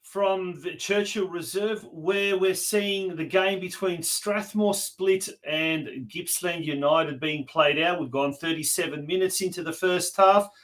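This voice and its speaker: An Australian man presenting a live community sports show as host, speaking haltingly with repeated and drawn-out words. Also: sits high, fairly bright tone.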